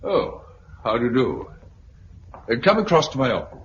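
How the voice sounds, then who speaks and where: A man with a British accent playing a brisk, affable organiser of survivors in a 1950s radio drama. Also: medium pitch, wildly swooping pitch, a bit bright. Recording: muffled, hissy 1950s radio recording.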